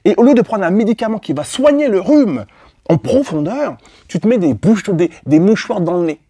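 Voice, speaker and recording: A man's voice: sits high, sing-song, moderate pace, dark tone, husky, dry recording, studio quality. A man coaching women in a motivational monologue, blunt and profane, repeating his points and speaking straight to the listener with prodding questions. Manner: blunt and profane, with emphatic repetition. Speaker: dating and life coach for women. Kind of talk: motivational monologue addressed to viewers.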